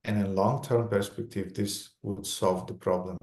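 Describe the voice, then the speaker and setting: Low-voiced man lecturing in English with a Romanian accent. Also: steady tone, a little mellow. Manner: speaks in short phrases, pausing for the interpreter. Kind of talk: religious lecture with consecutive interpretation.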